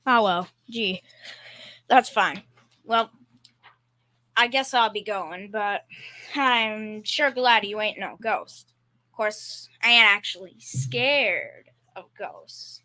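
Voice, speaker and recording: A woman plays a good-natured family man in an old-time radio play. The voice is bright and sharp, at a medium pitch for a woman. The delivery is plain-spoken, halting and folksy, with a slightly timid streak. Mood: sheepish, nervous. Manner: folksy, halting, repeats words. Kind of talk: old-time radio comedy-drama acting, amateur school performance.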